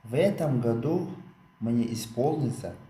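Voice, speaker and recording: A man teaching a phrasebook lesson, saying each phrase twice slowly and then translating it. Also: mid-range voice, expressive, mellow, reverberant. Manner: slow, careful repetition of each phrase. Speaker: language teacher.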